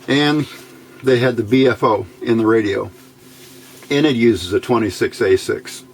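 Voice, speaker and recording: A middle-aged or older man casually explaining technical details as a hobbyist, halting and thinking aloud, recorded indoors at a worktable. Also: mid-range voice. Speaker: hobbyist radio builder giving a tutorial. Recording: noisy amateur home recording.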